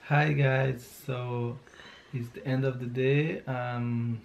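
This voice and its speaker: A man speaking slow, halting, as he wraps up a family vlog, repeating himself. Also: mid-range voice, level tone, creaky, dull audio, slight background noise. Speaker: vlogger, husband. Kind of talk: casual vlog sign-off.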